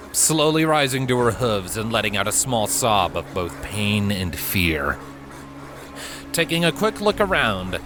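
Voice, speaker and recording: A man reading a story as a measured, expressive narrator in a dramatic scripted style. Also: medium pitch, noisy background. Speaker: fan fiction narrator. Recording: prominent music and effects behind voice. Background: background music, sound effects.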